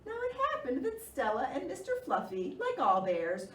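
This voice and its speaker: Animated high-voiced woman reading to children. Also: normal speed. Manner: animated, expressive, with theatrical gasps. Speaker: children's librarian and storytime host. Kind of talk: read-aloud storytime for young children. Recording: roomy, distant mic, faint noise.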